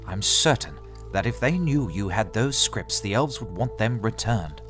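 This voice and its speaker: A man's voice: fairly low pitch, lively intonation, measured pace, a bit bright. An actor playing Turum, an earnest male knight who keeps pressing with questions in an audio drama. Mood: doubtful. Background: music.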